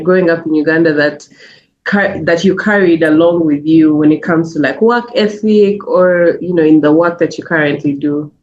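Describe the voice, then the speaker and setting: A woman's voice: lowish voice, darkish tone, muffled recording. A woman hosting an interview, asking questions in an encouraging way and laughing along. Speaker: interview host. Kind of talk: casual interview.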